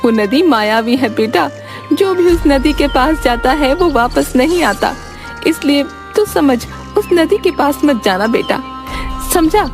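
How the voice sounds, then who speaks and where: A woman's voice: high voice, lively intonation, unhurried, voice pushed up. Woman putting on a fretful old grandmother. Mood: pleading. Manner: pleading, fussing. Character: worried loving old village grandmother. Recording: background music.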